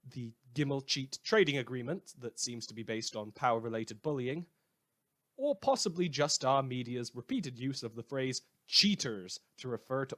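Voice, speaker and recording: A man's voice: medium pitch, a bit bright. A man playing a sardonic radio newsreader in a post-apocalyptic world, reading scripted satirical news with dry, rambling asides. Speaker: radio host.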